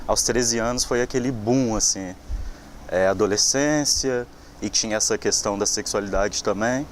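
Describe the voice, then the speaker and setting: A young man, a journalism student giving a reflective personal testimony in a halting way, with many fillers. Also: fairly low pitch, a little hiss or hum. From southern Minas Gerais, Brazil. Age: young adult.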